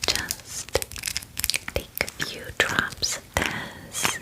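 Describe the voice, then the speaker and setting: A woman voicing a friendly, doting female dragon in an ASMR roleplay, whispering softly with gushing, casual, reassuring phrasing. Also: extremely slow, poor audio. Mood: soothing, attentive. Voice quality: soft breathy whisper. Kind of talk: ASMR fantasy roleplay, personal attention.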